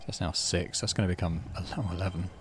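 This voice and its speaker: A man's voice: low voice, steady tone, fast, background noise. A man hosting a playthrough, reading game text aloud with animation and muttering offhand commentary to himself. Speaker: gaming channel host.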